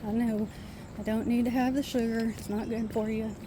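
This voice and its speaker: A soft-spoken woman with a quiet voice, chatting casually to her viewers while walking outdoors in a park. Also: fairly high pitch, flat delivery, moderate pace, background noise. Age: adult with a son. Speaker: vlogger. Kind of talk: casual walking vlog chat.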